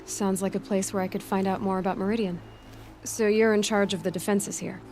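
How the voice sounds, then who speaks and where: An actress playing a determined young huntress who speaks in a direct, practical and sceptical way. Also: female voice, mid-range voice, flat delivery, a little fast, darkish tone, faint music behind, natural voice.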